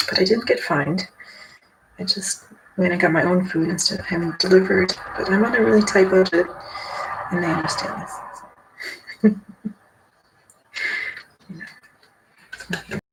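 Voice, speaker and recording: American woman, creaky voice, very noisy recording. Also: lowish voice, fairly even intonation, normal speed, long pauses. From United States. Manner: talks to herself, asks and answers her own questions. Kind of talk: rambling casual solo chat while playing cards.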